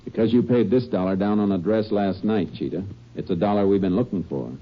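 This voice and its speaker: Actor playing a deep-voiced steady Texas lawman. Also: brisk, natural voice. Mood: firm. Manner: steady, clipped commands. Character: calm, firm male Texas Ranger, first-person narrator. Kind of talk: 1950s radio drama acting and narration. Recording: dull old radio recording.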